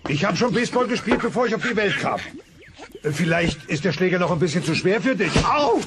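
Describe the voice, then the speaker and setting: High, gravelly bumbling dinosaur dad. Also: male voice, big pitch swings, fairly quick, background noise. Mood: boastful, then pained. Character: doting, bumbling, boastful dinosaur father. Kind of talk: cartoon radio play.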